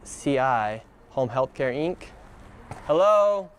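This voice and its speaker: A young man walking city streets on camera, reading out names and figures in a deadpan way. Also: mid-range voice, takes it slowly, a little hiss or hum. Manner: deadpan, reads figures aloud. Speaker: on-camera investigator. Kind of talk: walking documentary narration.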